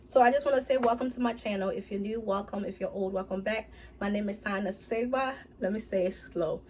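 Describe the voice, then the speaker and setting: A woman's voice: medium pitch, fairly quick, a little mellow, a little hiss or hum. Liberian lady vlogging about hair, chatty and playful, sure of herself, casual. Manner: chatty, playful, self-assured. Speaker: beauty and fashion vlogger. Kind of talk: casual hair tutorial talk.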